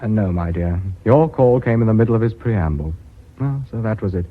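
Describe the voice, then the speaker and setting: An actor playing a sardonic, well-bred company director in British radio drama, dry and ironically polite. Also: male voice, on the low side, lively intonation, brisk. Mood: wry, troubled. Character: sardonic, well-bred gentleman heading a family firm. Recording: slightly dull radio drama recording.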